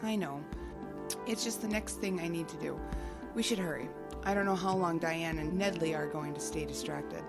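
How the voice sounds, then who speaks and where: Female, cagey undercover investigator, lowish voice, measured and businesslike, radio-play delivery. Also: creaky, over loud music. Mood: businesslike, urgent. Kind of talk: audio drama acting.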